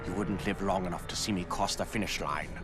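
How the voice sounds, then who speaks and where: A man's voice: low-pitched, fairly even intonation, quick pace, close to an everyday voice. An actor playing a smug, menacing villain racer in a video game, drawling and mock-polite as he taunts. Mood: taunting, menacing. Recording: music behind the voice.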